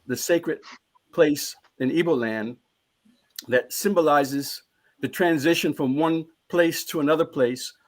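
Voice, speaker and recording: A man speaking as a panelist in a live discussion, earnest and emphatic, often repeating a phrase as he builds toward his point. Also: medium pitch.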